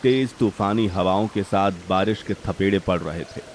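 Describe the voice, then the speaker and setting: A man's voice: low-pitched, normal speed, slight background noise. A man narrating a dramatic cartoon story in a scripted storytelling style. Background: background music.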